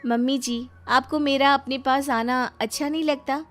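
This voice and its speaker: A woman's voice: sits high, fairly even intonation, normal speed, a bit bright, soft background music, pitched above the performer's own voice. A woman acting a pleading, stubborn young daughter-in-law. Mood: defensive, pleading. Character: young daughter-in-law, polite but stubborn, easily put out. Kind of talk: dramatized Hindi family storybook.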